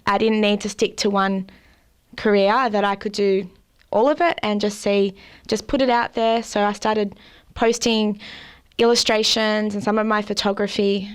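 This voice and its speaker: A young woman with an Australian accent, talking casually as an interview guest, chatty and quick to laugh. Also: mid-range voice, fairly even intonation, clean tone. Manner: chatty, laughs often, many fillers.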